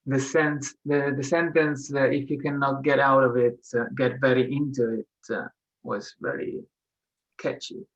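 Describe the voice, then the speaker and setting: A man's voice: medium pitch, fairly even intonation, a little slow. A man sharing reflections hesitantly as a workshop participant. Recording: slightly dull, lo-fi audio.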